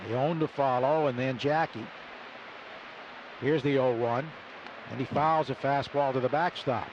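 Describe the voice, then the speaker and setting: A man calling a baseball game play by play on live radio in clipped, deliberate phrases. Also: mid-range voice, steady tone, lo-fi sound. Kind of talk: live radio sports commentary with sponsor reads. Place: stadium broadcast booth. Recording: noisy live ballpark radio broadcast.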